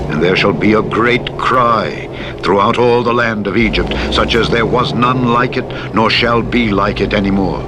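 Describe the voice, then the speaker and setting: A man reading scripture in a measured, formal and solemn style, as an audiobook narrator. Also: on the low side. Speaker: Bible narrator. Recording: steady vehicle noise over playback. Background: truck simulator engine and road noise.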